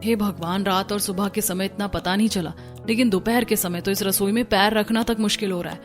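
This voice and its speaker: A woman's voice: medium pitch, steady tone, a little fast, soft background music, lightly acted. A woman playing a patient, polite young daughter-in-law in a cartoon family drama. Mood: weary, troubled. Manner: earnest, polite.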